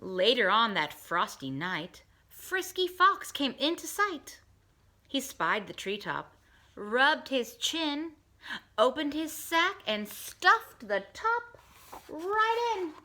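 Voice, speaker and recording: A middle-aged woman reads a rhyming children's book aloud at an unhurried pace. Her voice is bright and a little high, and it swoops up and down in a sing-song way as she changes voices for the characters. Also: big pitch swings. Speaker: teacher reading a picture book aloud. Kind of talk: storybook read-aloud for children.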